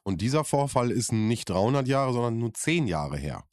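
Podcast-Mitmoderator, Mann, gesprächig, trockener Klang. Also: male voice, medium pitch, big pitch swings, moderate pace, a little mellow, dry recording, clean studio sound. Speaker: podcast co-host. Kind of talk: casual chat.

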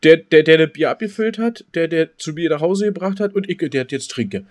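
A man's voice: fairly high pitch, expressive, brisk. A man chatting casually as a podcast co-host, repeating words and joking dryly. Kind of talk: casual chat.

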